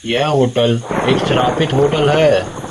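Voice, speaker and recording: A man playing an ominous old man who gives grave warnings in an animated horror story. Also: mid-range voice, slow pace, noisy background, natural voice.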